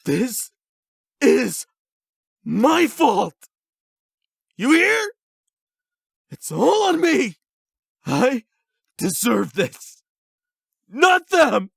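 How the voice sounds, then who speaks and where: Anime audio drama: male actor as a bitter, confrontational young punk, emotionally volatile, gravelly, highly animated delivery. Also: drawn-out words, long pauses, dry recording, studio quality, full character voice. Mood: anguished, shouting. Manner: sweary, sarcastic, confrontational. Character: angry, hurt young male delinquent, defensive and defiant. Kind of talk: emotional anime-style audio roleplay acting.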